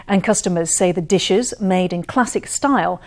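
A woman reading the news as anchor in a measured, formal delivery. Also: lowish voice, a little mellow.

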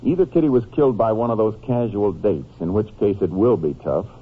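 An actor playing a steady, matter-of-fact Texas Ranger investigating a case in an old-time radio crime drama. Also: male voice, lowish voice, fairly quick, husky. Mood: businesslike. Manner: matter-of-fact, clipped, polite. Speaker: lead detective and case narrator. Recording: muffled, noisy vintage radio recording.

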